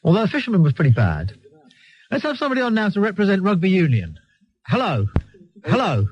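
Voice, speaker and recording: Husky, high-pitched English radio host. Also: male voice, huge pitch swings, quick pace. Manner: cheeky, teasing, sardonic, chuckling. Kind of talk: live talk radio banter with anecdotes. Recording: dull lo-fi radio broadcast recording.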